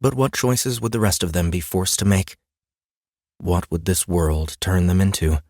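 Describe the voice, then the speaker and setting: A man's voice: low voice, unhurried, a little mellow, no room sound. A man reading scripted fantasy fiction as an audiobook narrator, recorded close in a studio. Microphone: close studio mic, dry booth.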